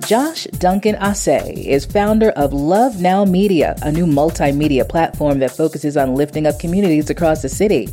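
A woman's voice: deep voice, normal speed, few pauses, dark tone. A woman reporter interviewing people on location, prompting them and restating their answers. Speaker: community reporter. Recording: long reverb, faint music.

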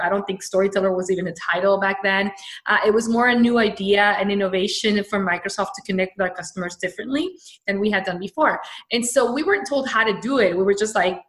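A woman's voice: medium pitch, a bit bright. A woman from Venezuela, a brand storyteller, giving an upbeat podcast interview with many fillers and restarts. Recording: echoey room.

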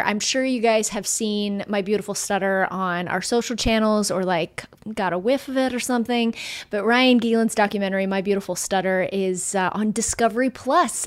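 A woman's voice: mid-range voice, clear, resonant tone. A woman co-hosting a podcast, chatty and upbeat, previewing next week's show. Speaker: podcast co-host. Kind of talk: casual podcast talk.

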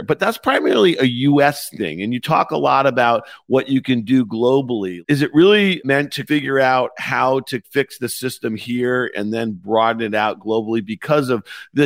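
A man's voice: fairly low pitch, no room sound. A male interview host asking a long, rambling multi-part question.

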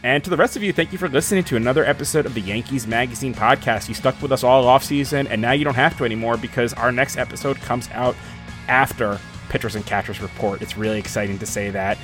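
A man's voice: medium pitch, quick pace, a bit bright, soft background music. A man hosting a podcast, joking with his guest and wrapping up the episode in a friendly, casual way. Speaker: podcast host.

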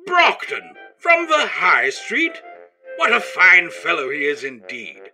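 A pompous, animated English radio host. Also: male voice, high-pitched, slow pace, over music, heavily acted. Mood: chatty, pompous. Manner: prim, flowery, exclaiming. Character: jolly, pompous old-time English radio show host. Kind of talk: mannered old radio comedy of manners.

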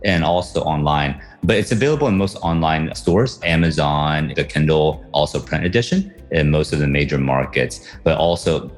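A man's voice: a bass voice, moderate pace, few pauses, soft background music. A man from Taiwan and the US answering interview questions conversationally, with frequent fillers. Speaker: guest author.

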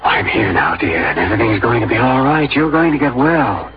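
A man's voice: mid-range voice, big pitch swings, fairly quick, lightly acted. Man playing an impassioned young frontiersman, old radio. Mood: tender, pleading. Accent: American. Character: earnest, devoted young frontiersman in love and grief. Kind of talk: 1950s radio drama acting. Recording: muffled old radio broadcast, music behind.

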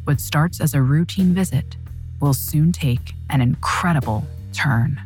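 A woman narrating a true crime story in a measured, dramatic scripted style. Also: sits low, a little mellow, soft background music.

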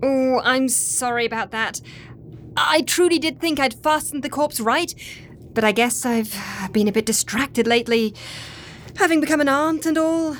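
An earnest, easily flustered colleague in a comedy podcast drama. Her voice is fairly high, defensive and hesitant, with faint noise behind it. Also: normal speed, fairly bright tone. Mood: flustered apology. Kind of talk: comedy audio drama acting.

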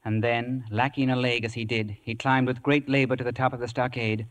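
A man playing the earnest narrator of an adventure in measured, literary radio storytelling. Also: medium pitch, level tone, fairly bright tone, lightly acted. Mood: grave recounting. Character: earnest male narrator recalling boyhood adventure. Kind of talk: dramatic scripted radio narration, 1950s. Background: music.

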